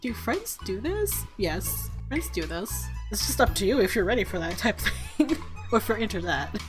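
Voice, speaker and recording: A woman's voice: mid-range voice, big pitch swings, normal speed. A woman doing gameplay commentary, reacting in a giggly way and reading story text aloud dramatically, with game music behind her. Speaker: game streamer, let's player. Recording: music under the voice.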